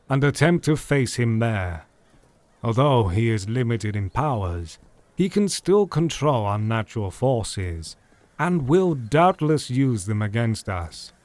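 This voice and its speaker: An actor playing a wise, commanding elderly doctor who leads a vampire hunt, speaking in a measured, formal way in an audio drama. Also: male voice, mid-range voice, no echo, close to an everyday voice. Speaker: party leader planning the hunt.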